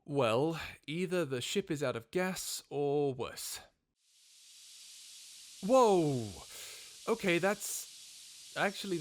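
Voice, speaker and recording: A man's voice: on the high side, slight background noise. A man playing a sarcastic, easily exasperated human scientist in an informal, conversational audio roleplay drama. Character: wry, grumbling human scientist, reluctant companion of a robot.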